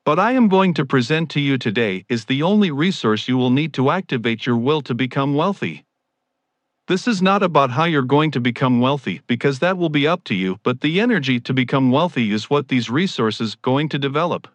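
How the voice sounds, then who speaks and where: A man's voice: mid-range voice, lively intonation, a little fast, dry recording. A man giving a scripted motivational monologue as a self-help speaker.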